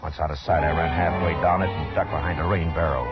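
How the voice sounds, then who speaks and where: An American man playing a hard-bitten, weary frontier marshal in a 1950s radio western, terse, commanding and deadpan. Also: rumbling low, fast. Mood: grim, reflective. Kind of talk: 1950s radio western acting and first-person narration. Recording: dull vintage radio recording, music behind.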